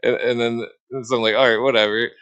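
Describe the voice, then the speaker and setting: A man's voice: mid-range voice, level tone, fast talker, a bit bright. A man chatting casually on a livestream, telling anecdotes in a rambling way with repeated phrases. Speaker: livestream talker. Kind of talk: casual chat.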